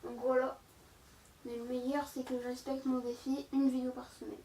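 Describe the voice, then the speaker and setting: High-voiced young woman with a cold. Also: little pitch movement, moderate pace, warm tone, roomy sound, recorded from a distance, rough recording. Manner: chatty, filler-heavy, talks to viewers. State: has a cold, sore throat. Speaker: gaming YouTuber. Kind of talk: casual gameplay commentary.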